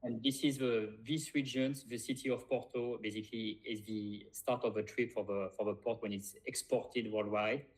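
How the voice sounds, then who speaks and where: Hesitant low-voiced man. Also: steady tone, normal speed, hardly any pauses, breathy edge, low-quality recording. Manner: hesitant, many fillers and restarts. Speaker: podcast co-host. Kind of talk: casual chat.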